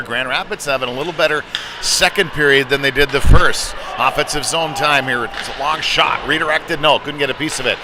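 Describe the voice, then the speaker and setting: Male hockey commentator over clear rink noise. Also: a little high. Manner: clipped running descriptions in short fragments. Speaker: play-by-play commentator. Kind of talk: live youth hockey commentary. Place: live at an ice hockey venue.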